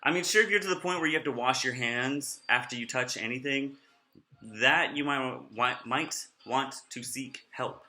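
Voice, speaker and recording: Young man, nasal, slightly slurred, rambling vlog chatter, very bright voice. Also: mid-range voice, normal speed, reverberant. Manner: slurring his words. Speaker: vlogger.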